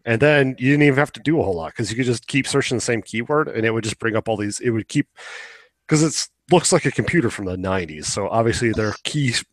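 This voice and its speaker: A man's voice: mid-range voice, lively intonation, fast talker, dry recording. A young man chatting casually as a podcast co-host, opinionated and rambling, swearing now and then. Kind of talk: casual chat about video games.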